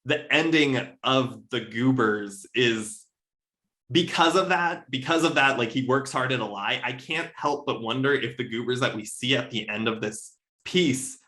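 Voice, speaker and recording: A man speaking as a podcast guest, discussing fiction casually in an emphatic, halting way. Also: mid-range voice, bright, forceful tone. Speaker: podcast guest, literary editor. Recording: roomy, echoey.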